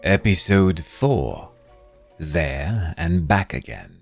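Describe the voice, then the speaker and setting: A male narrator with a low, deep voice tells a spooky story slowly and dramatically, his pitch rising and falling with the tale. Clear music plays underneath the whole time. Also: sing-song. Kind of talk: scripted audiobook narration. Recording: narration over music bed.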